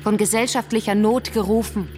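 An actress playing a militant, sharp and accusing revolutionary woman in a German radio drama, speaking in an insistent, declamatory way. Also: mid-range voice, fairly even intonation, a little fast, slight background noise, close to an everyday voice. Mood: grave, insistent. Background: music.

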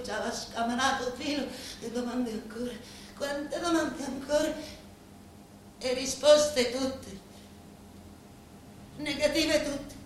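Echoey, high-voiced grieving Sicilian daughter. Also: female voice. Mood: bitter, defiant. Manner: incantatory, halting, repeating words with heavy pauses. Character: grieving, vengeful daughter of murdered parents, confiding in a boss. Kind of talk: theatrical dramatic monologue in dialect-laced Italian. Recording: echoey, distant, faintly noisy stage recording.

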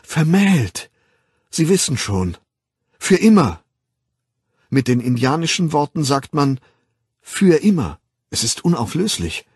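A man's voice: mid-range voice, very animated, slow pace, slightly rough, no echo, clean studio sound, voice pushed up, heavily acted. A man voicing a naive, dreamy young outsider in an audiobook, declaiming rapturously in old-fashioned words. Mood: fervent joy.

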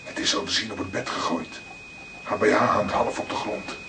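An impatient junior detective played by a man in a crime radio drama. His voice is low and sounds uneasy and questioning. He comes across at a distance from the mic, on a clearly noisy recording. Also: normal speed, natural voice. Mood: urgent.

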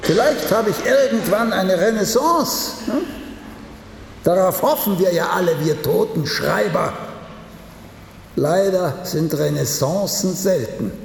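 Slow, gravelly, sardonic old playwright. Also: male voice, high-pitched, animated, slight background noise, full character voice. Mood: wistful, ironic. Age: older. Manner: slow, theatrical, drifting into wry chuckles. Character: old, vain, sardonic once-celebrated playwright. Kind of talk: radio drama acting.

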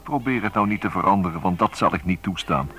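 An actor playing a weary, guarded stranger, aloof and firm on the telephone in a radio drama. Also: male voice, low-pitched, lively intonation, fast talker.

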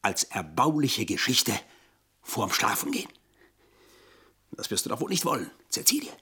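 Husky, pompous, sickly officer. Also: male voice, medium pitch, big pitch swings, normal speed, sharp tone, exaggerated voice. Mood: bitter, threatening. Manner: stilted, formal, flowery speech. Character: sickly, scheming retired officer, pompous and wheedling for money. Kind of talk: German radio play acting.

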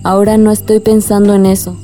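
Actress as mellow, earnest young sweetheart woman. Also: mid-range voice, flat delivery, faint music behind, close to an everyday voice. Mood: weary. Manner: earnest, measured. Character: weary, earnest woman, sweetheart with firm views. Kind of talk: radio drama acting.

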